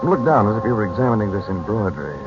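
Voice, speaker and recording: An actor with an American accent playing a worldly, calm, secretive uncle in a 1940s radio serial, assured and evasive. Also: male voice, on the low side, rapid-fire, heavy background noise. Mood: guarded, calm. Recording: muffled 1940s radio recording with music.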